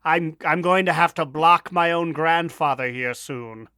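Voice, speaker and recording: A man with a fairly high, sharp and bright voice talks on a casual gaming podcast. The sound is dry and close, and his delivery is wry and jokey. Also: unhurried, clear, resonant tone, no echo. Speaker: podcast co-host. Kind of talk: casual chat about a video game.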